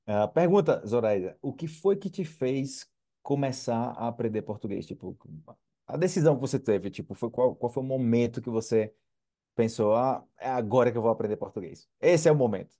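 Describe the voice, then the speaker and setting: A man from Brazil hosting a casual podcast interview, talking in an upbeat, slangy and energetic way. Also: medium pitch, moderate pace. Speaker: podcast host.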